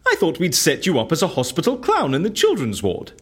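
A man's voice: fairly high pitch, huge pitch swings, brisk, a bit bright, breathy edge, exaggerated voice. A man in an audio drama playing an eccentric, suave, flippant fixer with a breezy, drily playful manner. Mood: gleeful, whimsical. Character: eccentric, suave, flippant male fixer who disappears people.

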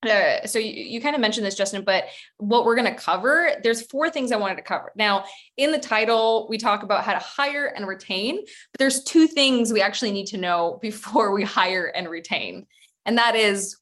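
Woman from Ukraine, recruitment consultant, mid-range voice, relaxed chatty delivery, video call audio. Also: mid-range voice, moderate pace. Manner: chatty, with frequent small laughs and restarts. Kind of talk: conversational webinar presentation.

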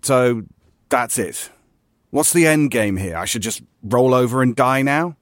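A man's voice: mid-range voice, measured pace, no room sound, clean studio sound, natural voice. A man playing a weary, stubborn veteran player of a surreal game, wry and conversational in a naturalistic audio drama. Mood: wry, resigned.

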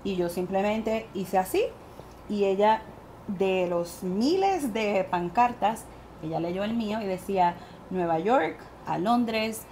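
Puerto rican lady telling a story on a talk show, lively, quotes herself a lot, bit of hiss behind. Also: mid-range voice, slow. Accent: Puerto Rican Spanish. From Puerto Rico. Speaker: guest medium. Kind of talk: animated interview storytelling.

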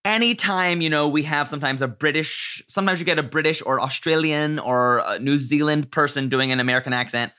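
A man hosting a casual, comic pop culture chat show, speaking in an animated, emphatic way with playful exaggeration. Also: a little high, brisk, hardly any pauses, clear, resonant tone. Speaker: podcast host.

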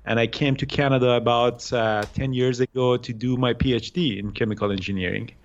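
A man in his thirties from Iran with an Iranian accent, answering interview questions haltingly with many fillers and restarts. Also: medium pitch, fairly even intonation, lo-fi sound. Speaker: guest day trader.

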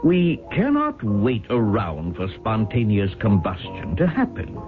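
Brief: a cheerfully villainous professor, pedantic and theatrical, wildly varied intonation, thin vintage radio sound. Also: male voice, on the low side, huge pitch swings. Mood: airy, sly. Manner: pedantic, chuckling, theatrical with drawn-out emphasis. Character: genial, smug male professor cheerfully plotting sabotage. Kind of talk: 1970s radio drama acting. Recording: phone-like vintage radio recording, faint music.

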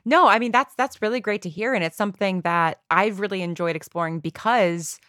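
A woman's voice: mid-range voice, fairly quick, a bit bright, no room sound, clean studio sound. An American woman in her thirties from New York, a podcast guest chatting casually in a rambling, self-reflective way. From New York, USA. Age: 30s. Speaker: podcast guest, audio drama writer. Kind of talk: casual interview chat.

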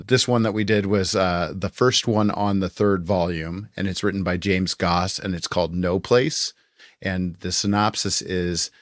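A man's voice: low voice, no echo, clean studio sound. A man co-hosting a podcast in a casual, conversational style. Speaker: podcast co-host reviewing audio dramas. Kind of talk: casual chat.

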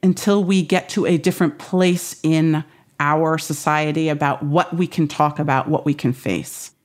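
A woman's voice: low voice, steady tone, moderate pace. A woman with an American accent explaining things as an academic guest in an interview, emphatic and articulate.

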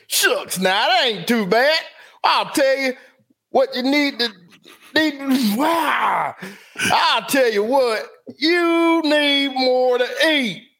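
A man's voice: extremely high pitch, animated, slow pace, fairly bright tone, voice pushed up, full character voice. A man doing a folksy, pushy turkey seller. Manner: drawling, rustic, salesman's patter.

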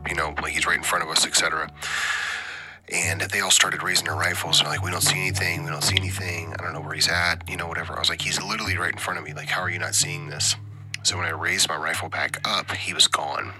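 A middle-aged man telling his own story in a loose, rambling way, full of likes and you knows, often dropping to a near whisper. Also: deep voice. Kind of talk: casual spoken recollection. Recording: music bed under the voice.

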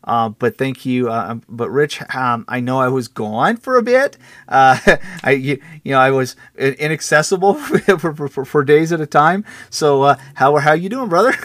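A man's voice: medium pitch, lively intonation, fairly bright tone, no echo. Chuckling, rambling man chatting casually. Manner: rambling, halting, self-interrupting, chuckles while talking. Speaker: podcast co-host. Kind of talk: casual chat and storytelling.

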